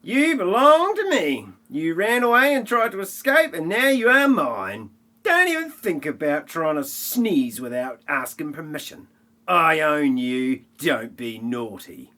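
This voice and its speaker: A woman as female gang boss, menacing, domineering, lively intonation, amateur parody radio play. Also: low voice, unhurried, full character voice. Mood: menacing, possessive. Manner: domineering, possessive.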